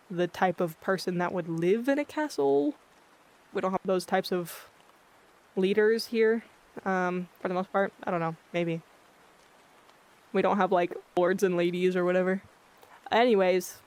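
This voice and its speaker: A livestreamer chatting casually with viewers in a monotone, blunt-sounding way, often losing their train of thought. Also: medium pitch. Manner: rambling, loses track of thoughts. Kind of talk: casual chat with live viewers. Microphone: filtered streaming mic.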